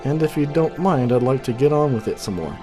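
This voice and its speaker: Casting: male gear reviewer, measured but keen delivery, clear synthesizer music underneath. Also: medium pitch, expressive, warm tone. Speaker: reviewer, host of a synthesizer channel. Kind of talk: product review narration with demonstration.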